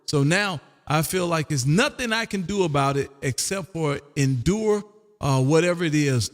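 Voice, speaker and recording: A man's voice: on the high side, lively intonation, moderate pace. An older man of about sixty hosting an amateur radio stream, rambling casually and folksily, often tagging sentences with "man". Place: home radio room. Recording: long echoing room reverb.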